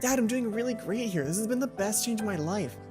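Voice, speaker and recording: A woman's voice: medium pitch, animated, fairly quick, music in the background, lightly acted. A woman voicing an earnest, emotional, sweet schoolgirl heroine in a visual novel, in a heartfelt way. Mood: earnest, loving.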